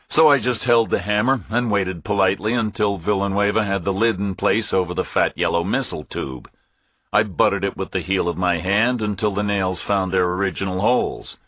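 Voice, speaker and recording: A man reading scripted first-person thriller narration in a measured, hard-boiled way. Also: deep voice, fairly bright tone, slightly rough, dry recording, clean studio sound. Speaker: audiobook narrator.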